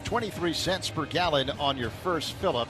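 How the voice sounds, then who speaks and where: A man doing radio play-by-play of a baseball game, measured in tone and rising with the action. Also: fairly high pitch, expressive, music in the background. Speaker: play-by-play announcer. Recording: broadcast with clear background noise.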